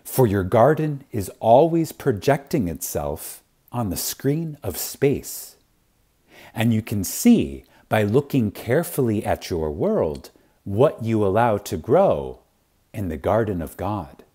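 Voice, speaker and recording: A man reading a spiritual lecture like a sermon, reverent and emphatic, with deliberate pauses for effect. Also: fairly low pitch, sing-song, warm tone, slightly rough.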